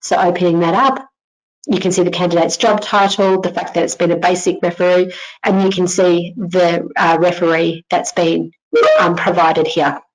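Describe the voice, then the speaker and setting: A woman giving an informal narrated software tutorial, explaining things step by step as a company presenter. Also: on the low side, level tone, moderate pace, low-quality recording. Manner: instructional, step-by-step.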